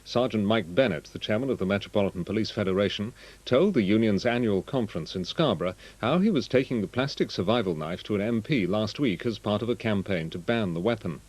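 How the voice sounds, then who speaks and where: An English man reads a regional television bulletin in a low voice with a husky edge. The delivery is formal and scripted. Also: expressive, fairly quick, darkish tone. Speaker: news reader.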